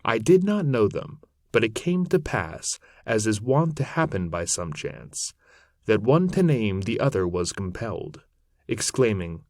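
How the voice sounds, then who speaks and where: A man gives a dramatic reading of epic verse in a fairly low voice that rises and falls widely. His delivery is solemn and very unhurried, in a dry, close recording. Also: sing-song, a little mellow, dry recording. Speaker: narrator reading poetry aloud. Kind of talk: dramatic recitation of an epic poem in archaic English.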